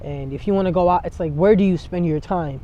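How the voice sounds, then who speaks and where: A man's voice: high voice, fast talker, a little hiss or hum. A young man from San Diego, a rapper guest, chatting loosely with lots of fillers. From San Diego, USA. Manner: laid-back, rambling, heavy on filler words. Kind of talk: casual interview chat. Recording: dull lo-fi outdoor audio.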